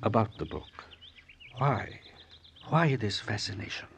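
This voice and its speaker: An actor playing an aging, vain but genial exiled Russian revolutionary, deliberate and halting, in an old radio drama. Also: male voice, fairly low pitch, sing-song, a bit bright, heavily acted. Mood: brooding, self-absorbed. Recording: vintage radio recording with faint noise.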